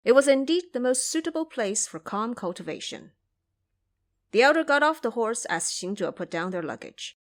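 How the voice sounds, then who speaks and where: A woman narrating a scripted audio drama as a storyteller, with careful, expressive phrasing. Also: a little high, normal speed.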